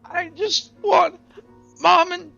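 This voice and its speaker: A scared, whimpering girl acted out by a man, pleading in a much higher pitch. It is a tabletop roleplay voice on a slightly dull recording. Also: steady tone, slow pace, creaky, sharp tone, soft background music, heavily acted. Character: whimpering, frightened girl pleading for her parents.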